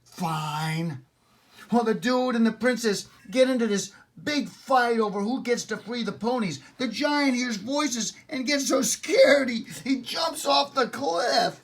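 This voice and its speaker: An older man acts out a cocky, impatient boy telling his own storybook adventure. The voice sits high for a man, and the delivery is slangy and blunt, with an exasperated edge. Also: lively intonation, slow pace, heavily acted. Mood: excited, argumentative. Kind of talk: animated storybook read-aloud.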